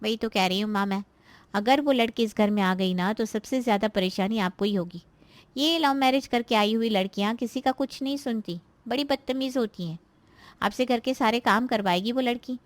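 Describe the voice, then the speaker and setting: A scheming married daughter from a cartoon family drama, acted by a woman, pitched fairly high. She nags, complains and insinuates with open spite. The sound is close and dry, with no room around it. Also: a little fast, no room sound, close to an everyday voice. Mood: insinuating, warning. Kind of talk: Hindi moral-story cartoon voice acting.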